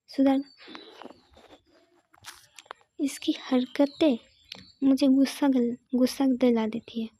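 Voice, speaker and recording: A woman's voice: sits high, dark tone. Woman doing a stern scolding sister-in-law. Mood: complaining.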